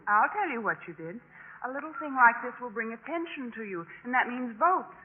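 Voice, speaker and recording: A woman's voice: a little high, expressive, fairly quick, lightly acted. An actress playing a brash, scheming young sorority woman, emphatic and dismissive, in a 1950s radio drama. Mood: scornful, persuasive. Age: young adult. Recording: muffled, noisy 1950s radio recording.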